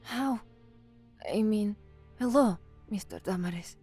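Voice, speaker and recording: A woman's voice: mid-range voice, slow pace, mellow, breathy edge. Young woman newsreader over music. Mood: flustered. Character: young woman newsreader, anxious, unwell, putting on composure. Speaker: radio newsreader and narrator. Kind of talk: audio drama dialogue, narration and formal newsreading.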